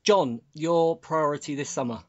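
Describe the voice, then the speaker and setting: A man's voice: fairly high pitch, normal speed, lo-fi sound. A man hosting a casual sports podcast and chatting with his panel. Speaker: podcast host.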